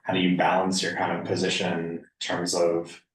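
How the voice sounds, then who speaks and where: A man leading a casual group discussion, halting and hedging as he thinks aloud. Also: sits low, completely flat delivery. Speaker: reading group discussion leader. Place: indoor room at a group meeting. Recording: echoey room, distant mic.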